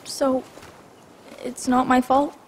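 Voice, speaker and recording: A woman's voice: high voice, little pitch movement, slow, slight background noise. A woman playing a grieving, guilt-ridden young girl in a dramatic video game, hesitant and confiding. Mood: guilty, upset.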